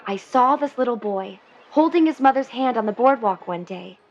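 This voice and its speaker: A woman's voice: high voice, fairly bright tone, lo-fi sound. A woman playing an earnest, dreamy young mermaid in love, in a 1950s period audio drama, with wistful, lyrical delivery. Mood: wistful, enchanted.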